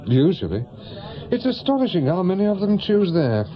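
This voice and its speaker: A retired British police detective in a radio drama. He questions hesitantly and with understated doubt, his pitch moving freely. The recording is slightly dull. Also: very animated, normal speed. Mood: polite, probing. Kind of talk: naturalistic radio drama acting.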